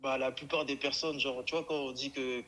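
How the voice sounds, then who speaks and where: A man phoning in to a live stream, talking in a halting, stammering, casual way. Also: mid-range voice, level tone, a bit bright. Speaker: caller.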